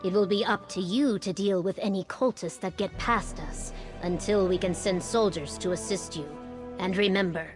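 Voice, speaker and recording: A woman playing a solemn female military commander in a video game, formal and authoritative in a measured way. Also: mid-range voice, fairly even intonation, over music, natural voice. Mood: grave, steady. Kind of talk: video game character acting.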